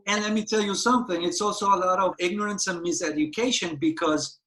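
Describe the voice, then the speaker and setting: A man with a Puerto Rican Spanish accent talks with passion in an interview and circles back on his own phrases for emphasis. His voice sits high for a man and sounds bright and forward. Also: fairly even intonation, fairly quick. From Puerto Rico. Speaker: guest actor. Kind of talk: earnest interview conversation.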